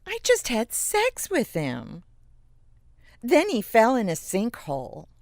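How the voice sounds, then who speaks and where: An actress playing a ditzy, guileless young woman in a comic audio drama, airy and halting in her delivery. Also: a little high, wildly swooping pitch, darkish tone, no room sound, clean studio sound, heavily acted. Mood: dazed, innocent.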